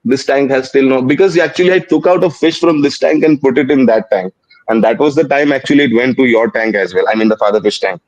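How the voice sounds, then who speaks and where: A man's voice: medium pitch, fast, darkish tone, no room sound. A man calling into a live stream over video, talking hesitantly with restarts and nervous laughs. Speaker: caller. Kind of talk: casual video call chat. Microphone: video call. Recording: dull lo-fi video call audio.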